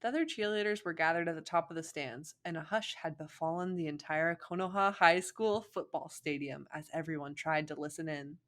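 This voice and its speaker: Slightly low-voiced woman narrating fan fiction. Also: brisk, hardly any pauses, fairly bright tone. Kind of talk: expressive story narration with casual asides.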